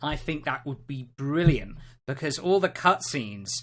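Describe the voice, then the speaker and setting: A man's voice: fairly high pitch, lively intonation, measured pace, a bit bright. A man hosting a casual podcast chat about video games. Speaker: podcast host.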